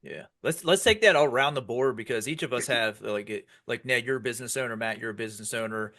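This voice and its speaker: An American man in his forties with a bright, forceful voice at a middle pitch. He hosts a casual group podcast bluntly and informally, steering everyone along. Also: quick pace. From York, Pennsylvania, United States. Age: 40s. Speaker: podcast host.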